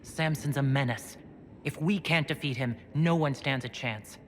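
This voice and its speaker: A man plays a resolute, practical leader in a fantasy video game. The voice is medium in pitch and delivered in a composed, matter-of-fact manner. Clear ambient noise sits behind it. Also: moderate pace, natural voice. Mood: grim.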